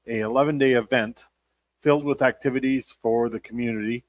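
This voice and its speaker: A man speaking as a team executive in a casual interview, explaining in halting, drawn-out phrases. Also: mid-range voice, fairly even intonation, no echo. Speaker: team general manager and president.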